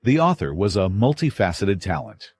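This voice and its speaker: A man with a husky voice reading scripted narration as an announcer. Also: sits low, big pitch swings, moderate pace, darkish tone, no room sound.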